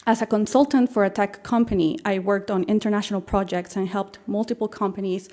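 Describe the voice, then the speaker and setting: Mexican woman giving a measured speech. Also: mid-range voice, steady tone, dark tone. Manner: measured, with frequent um and uh fillers. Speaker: scholarship recipient giving a speech. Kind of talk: formal prepared speech.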